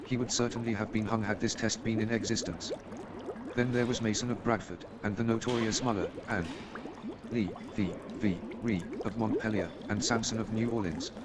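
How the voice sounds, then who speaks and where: A man's voice: fairly low pitch, steady tone, normal speed, close to an everyday voice. A man playing an eager, self-assured amateur detective and chemist in a staged radio play, speaking in an emphatic, pedantic way. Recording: noisy with watery background sound.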